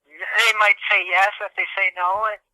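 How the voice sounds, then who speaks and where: A man's voice: high voice, little pitch movement, normal speed, pushed and bright, no echo, rough recording. A young man co-hosting a podcast, ranting casually and comically in an exasperated, hyperbolic, profane way. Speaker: podcast co-host.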